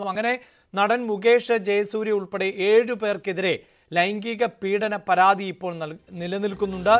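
A middle-aged man with a Kerala accent presenting live television news. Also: sits high. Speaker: news anchor. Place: TV news studio. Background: news music bed.

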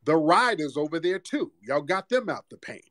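A man's voice: fairly high pitch, big pitch swings, normal speed, a bit bright, dry recording, clean studio sound. A man hosting a heated call-in debate, combative, repeating himself and talking over the caller. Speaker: host.

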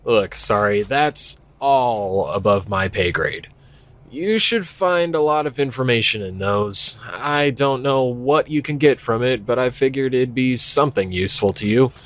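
A man's voice: medium pitch, animated, unhurried, a bit bright. A man acting a young, skeptical park ranger in an audio drama, speaking casually and hesitantly with a wry edge. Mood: matter-of-fact. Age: young adult. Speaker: voice actor. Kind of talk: naturalistic audio drama dialogue.

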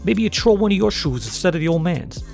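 A man's voice: high-pitched, lively intonation, fast talker, creaky, a little mellow, faint music behind, voice pushed up. A man voicing a male parrot who bargains on behalf of a rat boss. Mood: wheedling. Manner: wheedling, bargaining. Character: fast-talking male parrot go-between.

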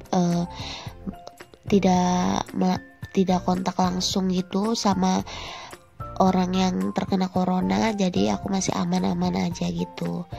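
Stuffy-nosed young woman with a gravelly voice. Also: on the low side, warm tone. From Indonesia. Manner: chatty, friendly, addresses viewers directly. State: nasal and stuffy from a cold. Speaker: vlogger and housewife. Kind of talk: casual voice-over vlog narration.

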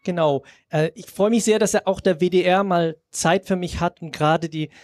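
A man's voice: sits high, moderate pace, no room sound, natural voice. A man playing a nervous, eager author who stammers hesitantly in a live comedy sketch. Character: nervous, eager young male author pitching his work. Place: outdoor festival stage under a tent.

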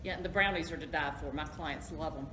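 A woman's voice: low-pitched, quick pace. A middle-aged woman, a travel agent, giving a friendly, self-deprecating talk to an audience in a hotel meeting room. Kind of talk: informal presentation to an audience. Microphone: far camera, no mic. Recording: echoey room, noisy.

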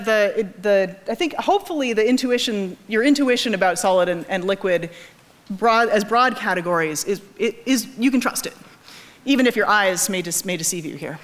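A woman in her thirties with a New England American accent gives an informal lecture in an animated way, often restarting her sentences. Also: medium pitch. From New England, United States. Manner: animated explaining, self-correcting with frequent false starts. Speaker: physics professor giving a lecture. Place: large auditorium stage.

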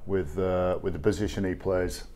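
A middle-aged man with an English accent, a rugby coach answering post-match press questions in a measured, halting way with many fillers. He sits at a table in a small press room. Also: deep voice, fairly even intonation, mellow, a little hiss or hum. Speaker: rugby league head coach. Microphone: table microphone.

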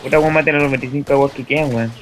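A man's voice: mid-range voice, steady tone, racing, a bit bright, slight background noise. A young man with a Chilean accent chatting casually over online gaming, with slang and swearing. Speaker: gamer on voice chat.

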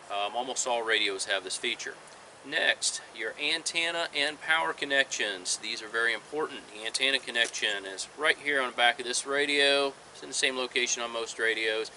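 Male instructor, 40s, practical how-to delivery, very sharp bright tone, mid pitch, faint outdoor noise. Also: poor audio. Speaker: marine electronics installer giving tips. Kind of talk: instructional talk to camera. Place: outdoors in a fenced backyard.

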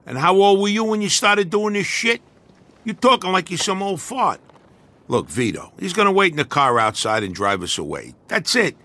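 Game sidekick: a cocky, crude gangster, high bright voice, lots of swing in pitch. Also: male voice, sing-song, moderate pace, heavily acted. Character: brash young male gangster, cocky joker.

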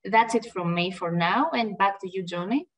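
A woman's voice: medium pitch, moderate pace, recorded from a distance. Greek-accented woman on a video call. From Greece. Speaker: consultant. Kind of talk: webinar self-introduction. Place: home office, video call.

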